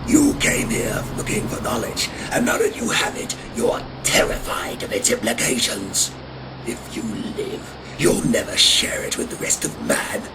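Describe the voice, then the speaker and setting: A menacing vampire whose pitch swings wildly, delivered slowly with theatrical scorn. The voice is gravelly and sits back from the mic under prominent music, in audio drama style. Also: male voice, wildly swooping pitch, distant mic, full character voice, poor audio. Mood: scornful. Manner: taunting, theatrical, slow deliberate delivery. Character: menacing, accusing vampire interrogating a captive hunter. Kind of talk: fan audio drama acting.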